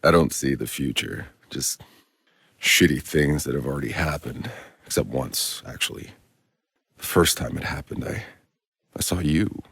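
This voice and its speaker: An actor playing a world-weary, sardonic soldier in a video game, gravelly, dry and blunt. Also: male voice, very deep voice, unhurried, long pauses, fairly bright tone, no room sound, heavily acted. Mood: subdued, earnest. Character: gruff, world-weary male Outrider soldier, sardonic and foul-mouthed.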